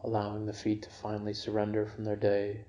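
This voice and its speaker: A man guiding a yoga nidra relaxation for sleep in a gentle, unhurried, soothing manner. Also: on the low side, completely flat delivery, highs cut off. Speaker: meditation guide.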